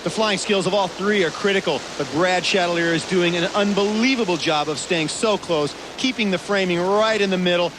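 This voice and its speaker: A man with a high-pitched voice works as an expert analyst on a televised sports event. He explains the technique clearly over a loud, steady wash of hiss and rushing noise. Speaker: expert colour commentator. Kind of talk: sports broadcast commentary.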